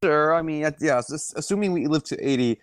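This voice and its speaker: A man in his twenties in casual conversation, easygoing, with halting phrasing as he disagrees with friends. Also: a little high, smooth, no echo, clean studio sound. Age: 20s.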